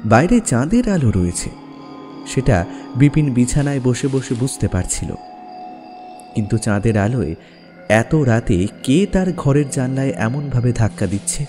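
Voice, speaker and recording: A man's voice: medium pitch, lively intonation, normal speed, mellow. A man narrating a scripted ghost story in a dramatic, suspenseful manner. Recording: long reverb over background music. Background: music.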